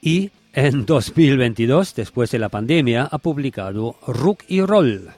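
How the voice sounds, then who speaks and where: A man's voice: mid-range voice, animated, a little slow, a little mellow, a little hiss or hum. A man informally presenting music between songs, speaking as the presenter.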